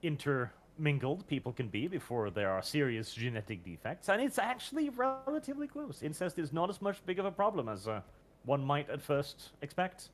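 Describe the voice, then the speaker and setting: A Norwegian man speaking British-sounding English, chatting casually on a game stream with wry, mock-serious, halting comic timing. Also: a little high, very animated. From Norway. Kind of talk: casual chat. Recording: music behind the voice. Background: music.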